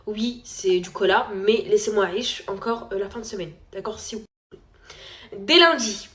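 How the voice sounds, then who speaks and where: A woman's voice: mid-range voice, moderate pace, crowd noise. Chatty young mother vlogging. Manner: chatty and candid, many fillers, drops to a whisper at times. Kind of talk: casual chat to followers.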